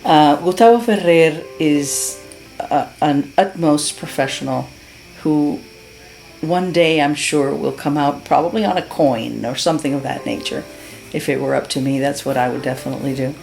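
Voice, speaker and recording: A woman's voice: low voice, normal speed, vocal fry, a little mellow, faint music behind, rough recording. A middle-aged woman from Cuba giving an earnest, heartfelt testimonial as a patient, with many fillers and restarts. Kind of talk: unscripted testimonial.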